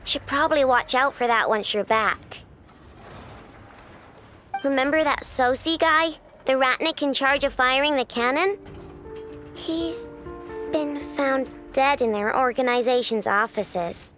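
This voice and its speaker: A woman's voice: high-pitched, unhurried, pauses often, fairly bright tone. An actress playing a brash, cocky young female inventor in a video game, with a casual, slangy manner. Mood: sober, matter-of-fact. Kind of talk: video game cutscene voice acting. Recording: music behind the voice.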